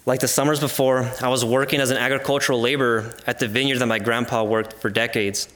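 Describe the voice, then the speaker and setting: Male, 20s, American accent, native Spanish speaker. Mid-pitched voice, earnest and formal read of a scripted personal testimony. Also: steady tone, fast, a bit bright. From California and Arizona, United States.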